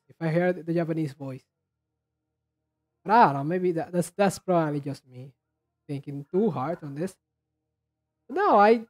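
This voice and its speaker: A reaction video host giving casual commentary, halting and repeating words while thinking aloud. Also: mid-range voice, a little mellow.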